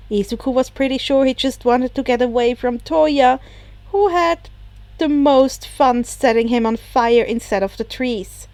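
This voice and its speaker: A woman reading fan fiction aloud as an expressive scripted narration. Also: high voice, no echo. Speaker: podfic narrator.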